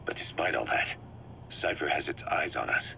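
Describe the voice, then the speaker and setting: A man's voice: a bass voice, normal speed, creaky, bright, forceful tone, heavily acted. A man playing a driven, shrewd mercenary commander in a video game, briefing his boss in an emphatic, assured way. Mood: grim. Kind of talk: scripted video game dialogue, explaining plans. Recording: muffled cassette-like sound with background hum.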